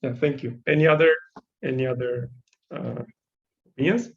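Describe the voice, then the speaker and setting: A man with a Bulgarian accent leads a design workshop. His voice sits at a middle pitch and comes through slightly dull and muffled over the call. He sounds encouraging and thoughtful, often pausing to find the right words. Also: vocal fry. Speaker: workshop instructor. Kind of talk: giving feedback on student designs.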